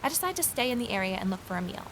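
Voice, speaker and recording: A woman's voice: medium pitch, very animated, racing, slight background noise, close to an everyday voice, low-quality recording. A woman playing a curious, determined investigator who narrates an audio drama in a reflective, conversational way, with ambience behind her. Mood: observant, unsettled. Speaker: narrator and lead character. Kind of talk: first-person audio drama narration and dialogue.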